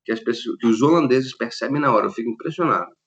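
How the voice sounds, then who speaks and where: Animated brazilian man, slightly dull audio. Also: medium pitch, fast. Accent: Brazilian Portuguese. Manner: animated storyteller, restarts often, laughs easily. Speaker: interview guest, lighting designer. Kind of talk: relaxed conversational interview.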